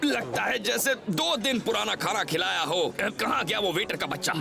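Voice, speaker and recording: A man's voice: high-pitched, normal speed, vocal fry. A man voicing a vain, baffled young footballer in a cartoon dub, comic and fussy. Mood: confused, fussy. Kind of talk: dubbed cartoon acting. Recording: music behind the voice.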